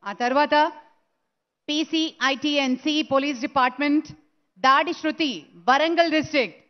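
A woman announcing recruits' names one by one at an award ceremony, in a slow, measured way. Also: sits high, steady tone. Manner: slow, measured name-calling into a PA. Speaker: event announcer. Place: large stadium ceremony. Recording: dull lo-fi broadcast sound.

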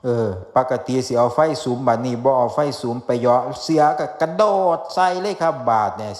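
A man's voice: mid-range voice, lively intonation, brisk, darkish tone, a little hiss or hum. A man with an Isan accent narrating a folktale, folksy and speaking straight to his listeners. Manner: folksy, addressing listeners directly. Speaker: folktale storyteller. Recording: music behind the voice.

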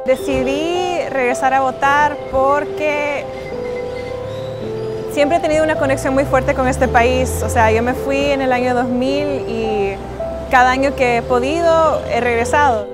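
A woman's voice: sits high, measured pace. A woman in her twenties from El Salvador, with a Salvadoran accent, giving an earnest, informal testimonial to camera. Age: 20s. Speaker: interviewee, emigrant voter. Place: outdoors, covered airport walkway. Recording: music under the voice. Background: music.

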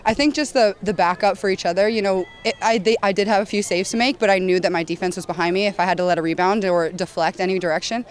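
A young woman goalkeeper talking quickly and eagerly in a post-game interview, outdoors at a stadium at night into a handheld mic. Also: mid-range voice, a little hiss or hum. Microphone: handheld mic held at chin.

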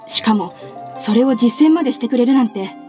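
A woman's voice: on the high side, animated, a little fast, music in the background, close to an everyday voice. A woman voicing an earnest, respectful young civil servant in an anime-style Japanese game, polite and sincere. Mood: admiring.

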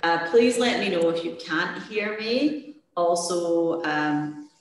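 A woman's voice: on the low side, slow. A woman leading a volunteer dog-training session on a video call, friendly and hesitant, with many fillers. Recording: very echoey room.

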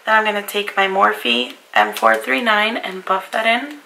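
Hoarse, raspy-voiced young woman vlogger. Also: mid-range voice, unhurried, pushed and bright, a little hiss or hum, low-quality recording. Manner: chatty, apologetic about her voice. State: hoarse from a cold. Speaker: beauty vlogger. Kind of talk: casual makeup review. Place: bedroom vanity, indoors.

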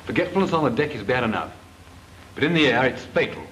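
A man's voice: medium pitch, sing-song, fast talker, a bit bright, slight background noise, rough recording. An actor playing a brusque flight instructor officer who scolds his trainees. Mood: stern. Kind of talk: 1940s British film acting.

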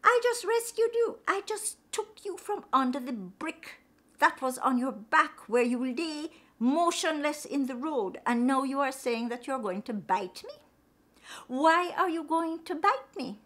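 A woman's voice: high voice, animated, a little slow, fairly bright tone, voice pushed up. A woman voicing a kind-hearted male rabbit in a folktale, earnest and pleading. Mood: indignant.

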